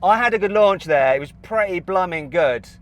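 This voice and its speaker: A man with a Midlands accent presenting a car show, talking in an excitable, animated way and laughing in disbelief. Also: high-pitched, moderate pace, slight background noise. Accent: English Midlands. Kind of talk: excitable on-camera commentary.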